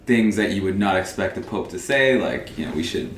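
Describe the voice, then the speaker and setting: A young man co-hosting a podcast in casual chat, animated and laughing often as he retells scenes. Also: low voice, brisk, slight background noise. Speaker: podcast co-host. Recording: echoey room with faint ambience.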